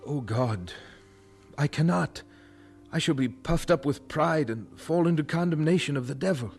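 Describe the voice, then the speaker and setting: A man's voice: on the high side, moderate pace, over music, natural voice. A man playing an earnest, devout young preacher in an audio drama, with formal, humble speech. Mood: anguished prayer.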